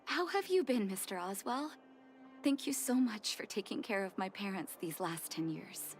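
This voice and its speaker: A woman's voice: medium pitch, expressive, moderate pace, faint music behind, lightly acted. A woman playing an earnest, emotional young doctor and devoted daughter in a drama, with a heartfelt manner. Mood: polite, anxious. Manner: apologetic, heartfelt. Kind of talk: dramatic voice acting.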